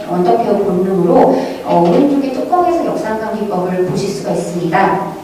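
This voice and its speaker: A woman presenting academic research at a conference in a measured, formal manner, reading from prepared notes. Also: high voice, warm tone. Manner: measured, formal scholarly delivery. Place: large echoey lecture hall at a conference. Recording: very echoey hall, distant mic, faint noise.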